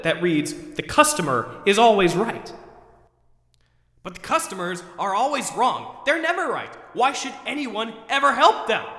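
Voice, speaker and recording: A man's voice: sits high, fairly bright tone. A man acting out the theatrical internal monologue of an overwrought, neurotic man who questions himself, recorded in an echoey hall. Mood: exasperated, ranting. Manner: ranting, then talking himself down. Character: overwrought, neurotic man agonizing over forgotten groceries, self-questioning. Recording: echoey hall with long reverb.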